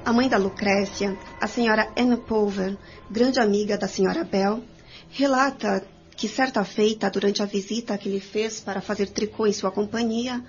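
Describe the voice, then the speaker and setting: A woman's voice: medium pitch, fairly even intonation, moderate pace. Lady narrating a radio play from a script, kinda muffled w/ faint noise. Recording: dull recording with faint noise.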